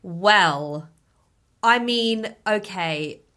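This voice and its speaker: A woman co-hosting a podcast in casual, emphatic chat, her voice husky from a cold. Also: mid-range voice, expressive, extremely slow, pushed and bright. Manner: enthusiastic, emphatic, repeats herself for effect. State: husky voice from illness. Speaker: podcast guest and co-host.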